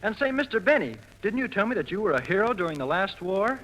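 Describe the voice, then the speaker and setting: A man's voice: high-pitched, very animated, fast. A polite, naive young American man acting earnestly in a 1940s radio comedy. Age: young adult. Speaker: show singer and comic foil. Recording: noisy 1940s live radio recording.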